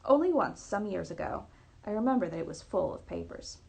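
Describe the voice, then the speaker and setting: A woman's voice: mid-range voice, very animated, brisk, vocal fry, slightly rough, exaggerated voice. A woman voicing a polite, earnest young lady in a storybook reading, answering emphatically. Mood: helpful.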